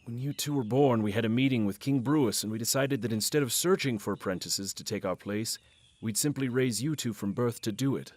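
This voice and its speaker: A man acting in a fantasy audio drama as an earnest middle-aged father and guardian, explaining things in a measured way with hesitant pauses. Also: mid-range voice, darkish tone, dry recording, natural voice. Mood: gently earnest.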